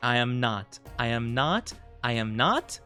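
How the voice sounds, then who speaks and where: Male streamer, medium voice, teasing and mock-outraged with chat, slightly worn-out voice, soft music bed. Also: mid-range voice, sing-song, a little slow, clean tone. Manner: playful and mock-offended, bursts of laughter. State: losing his voice. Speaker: VTuber streamer. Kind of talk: casual live-stream chat with viewers.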